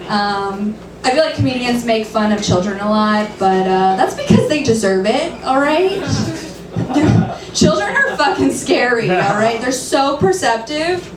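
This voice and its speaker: A woman in her thirties doing a dry, self-deprecating stand-up set, sometimes shrill and laughing at her own jokes. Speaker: stand-up comedian. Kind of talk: stand-up set at an open mic. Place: comedy club stage. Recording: roomy, distant, noisy club recording.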